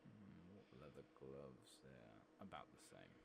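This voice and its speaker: Very deep, creaky soft-spoken man. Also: sing-song, unhurried, heavy background noise. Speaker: gaming ASMR video creator. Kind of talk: soft-spoken ASMR game commentary.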